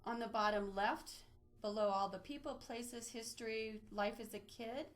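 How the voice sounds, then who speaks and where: A woman's voice: on the high side, steady tone, normal speed. A woman presenting an instructional webinar, explaining step by step as she walks listeners through a screen demonstration. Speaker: webinar presenter, library trainer. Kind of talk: instructional screen-share walkthrough, semi-scripted.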